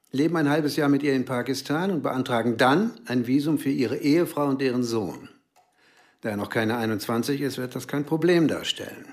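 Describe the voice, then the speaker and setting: Man acting a pragmatic, mid-pitched official adviser. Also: lightly acted. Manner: explanatory, tags sentences with a checking question. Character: pragmatic, matter-of-fact male immigration adviser. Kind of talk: German radio drama acting.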